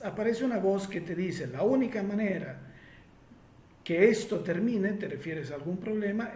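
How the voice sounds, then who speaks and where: A man's voice: high-pitched, darkish tone, echoey room. A man from Italy speaking Spanish with an Italian accent, answering listener questions in a reflective way with frequent fillers and restarts.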